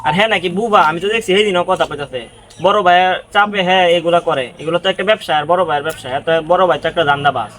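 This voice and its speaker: A man's voice: sits high, very fast, a bit bright. A man acting a pious, sensible villager who gives earnest, preachy warnings in an amateur skit, with faint background noise. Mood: disapproving.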